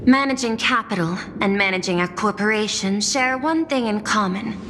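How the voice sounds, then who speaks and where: An actress playing a composed, dutiful corporate executive in a video game, speaking formally and with measured care. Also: female voice, mid-range voice, noisy background, natural voice. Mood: grave, formal. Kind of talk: scripted game voice acting. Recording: long reverb, loud music behind.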